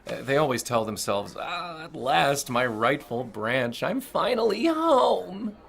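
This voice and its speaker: Gravelly, cynical boss. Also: male voice, on the high side, very animated, slow pace, fairly bright tone, heavily acted. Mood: menacing, contemptuous. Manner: profane, mocking, menacing. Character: cynical, crude, domineering male corporate boss. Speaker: voice actor. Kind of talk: audio drama acting.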